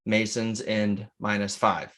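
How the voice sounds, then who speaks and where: A man's voice: lowish voice, level tone, slow pace, a bit bright. A man co-hosting a podcast, bantering casually and arguing his point. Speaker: podcast co-host.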